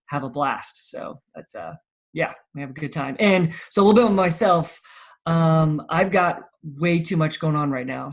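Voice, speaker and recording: A man in his thirties from South Carolina with an American accent and Swiss German as first language, chatting casually as an interview guest. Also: on the high side. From Charleston, South Carolina, United States. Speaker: interview guest, physical therapist and entrepreneur.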